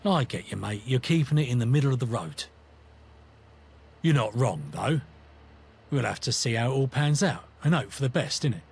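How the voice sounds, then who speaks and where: An actor playing a conflicted, earnest British rebel in a video game, talking in a matey, reflective, colloquial way. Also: male voice, mid-range voice, animated, normal speed, gravelly, long pauses, husky, exaggerated voice. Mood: easygoing. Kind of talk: scripted video game companion dialogue.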